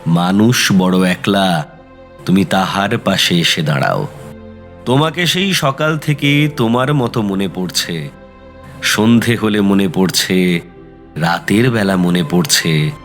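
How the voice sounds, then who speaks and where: An actor playing a kindly, easygoing middle-aged neighbourhood bachelor in an audio drama, speaking colloquially with breezy earnestness. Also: male voice, deep voice, animated, slow pace, long pauses, a bit bright, heavily acted. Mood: tender, reflective. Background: background music.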